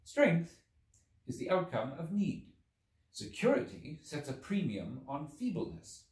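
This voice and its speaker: A man reading a literary story aloud as a narrator, with measured, dramatic and deliberate phrasing. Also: on the high side, big pitch swings, darkish tone, recorded from a distance. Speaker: audiobook narrator. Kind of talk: expressive literary audiobook narration. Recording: roomy, echoing, away from the mic.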